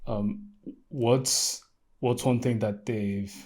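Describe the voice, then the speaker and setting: A man's voice: mid-range voice, fairly even intonation, drawn-out words, darkish tone. A man hosting an interview, asking his guest questions in a hesitant, friendly way with many fillers. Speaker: podcast host.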